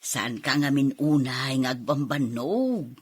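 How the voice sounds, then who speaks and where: A woman's voice: extremely low pitch, animated, slow pace, husky, full character voice. A woman acting an inquisitive, motherly older mother with an Ilocano accent in an amateur audio drama. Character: older Ilocano mother, inquisitive, concerned about her married daughter.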